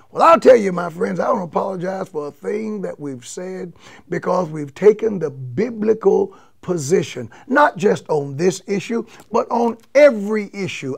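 An older man, a bishop, with a raspy voice and a touch of a cold, emphatically inviting listeners to a church service from his study. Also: high-pitched. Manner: emphatic, animated hand gestures. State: under the weather. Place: home office study with bookshelves. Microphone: lapel mic, seated at desk.

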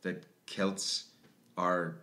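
A man's voice: low-pitched, very slow. A man with a Belfast accent, a guest joking and telling stories in casual podcast chat. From Northern Ireland. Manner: animated, joking storyteller.

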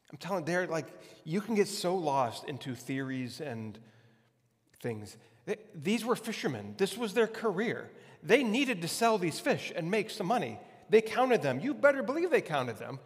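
A man in his forties preaching a conversational sermon as a pastor, with emphatic repetition and deliberate pauses. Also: a little high. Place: large hard-walled church hall, on stage. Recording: long hall reverb.

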